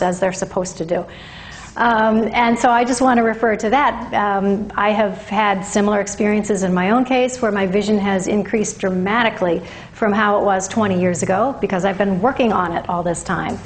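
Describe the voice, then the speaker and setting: A woman in her fifties giving an informal lecture to a class, measured and explanatory with deliberate pauses. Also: mid-range voice. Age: 50s. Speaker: workshop teacher, holistic health practitioner.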